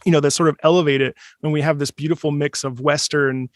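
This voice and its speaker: A man's voice: a little high, fairly even intonation, fast talker, no echo, studio quality. A man hosting a casual chat, talking enthusiastically in a rambling way. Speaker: host.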